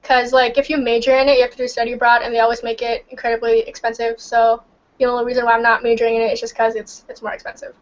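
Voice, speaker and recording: A young woman from Indonesia, whose first language is Indonesian, talks casually in a high voice. She keeps dropping "like" and "um" as she chats. The recording is dull and lo-fi. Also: little pitch movement, rapid-fire. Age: young adult. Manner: chatty, many fillers like "like" and "um". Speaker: study group member. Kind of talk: casual chat.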